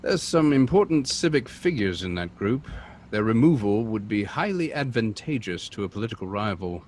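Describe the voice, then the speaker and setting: An actor playing a composed, refined gentleman sleuth in an old-time radio drama, speaking formally. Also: on the low side, sing-song, moderate pace. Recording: noisy vehicle ambience behind.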